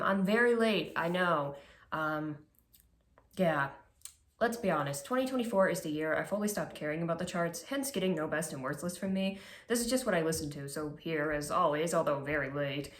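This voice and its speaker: A woman's voice: low voice, animated, brisk, fairly bright tone. Congested woman reading her music picks. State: congested, stuffy nose. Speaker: music ranking video host. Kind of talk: scripted list commentary read aloud. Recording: echoey room.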